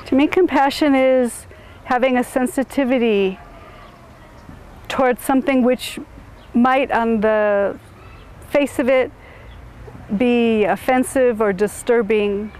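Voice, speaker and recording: A middle-aged woman from suburban Chicago, answering interview questions in a thoughtful, halting way with many restarts. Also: fairly high pitch, steady tone, a little mellow, smooth. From suburban Chicago, USA.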